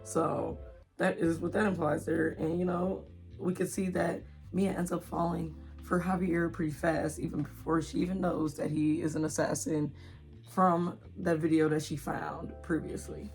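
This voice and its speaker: Woman in her twenties, slightly low pitch, giggly, gushing, casual vlog chat, faint music. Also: level tone, moderate pace, creaky, a little mellow. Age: 20s. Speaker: indie author and book vlogger. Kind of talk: casual chatty video blog, book promotion.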